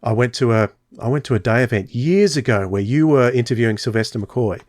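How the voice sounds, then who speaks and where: A man's voice: medium pitch, a little fast, darkish tone, no room sound, clean studio sound. A man hosting a podcast, chatting casually and telling stories with many fillers. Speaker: podcast host.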